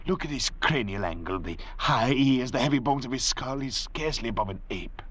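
A man playing an arrogant, intellectual doctor cast away at sea in old radio drama style, lecturing theatrically in a gravelly voice. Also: medium pitch, animated, fairly quick, fairly bright tone, lightly acted. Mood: intense, brooding. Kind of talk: 1940s radio drama acting. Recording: old radio recording with faint hiss.

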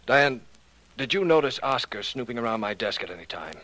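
A tired young male scientist in an old radio drama. His mid-pitched voice is curt and dismissive, with an irritable, defensive edge. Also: sing-song, a little fast, sharp tone, low-quality recording. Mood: suspicious. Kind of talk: 1940s radio acting. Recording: vintage 1940s radio recording.

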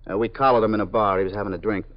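A man's voice: on the low side, fairly even intonation, natural voice. An actor playing a dutiful male police detective reporting to his lieutenant, in 1950s radio drama style. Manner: quick, respectful reporting. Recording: dull old radio broadcast.

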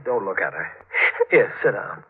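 An actor playing a tense young newlywed husband with an American accent, urgent and prone to nervous stammering, in 1940s radio drama style. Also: male voice, low voice, big pitch swings, normal speed, husky, exaggerated voice. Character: rattled young newlywed husband, bossy and scheming under pressure. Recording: tinny, noisy 1940s radio recording.

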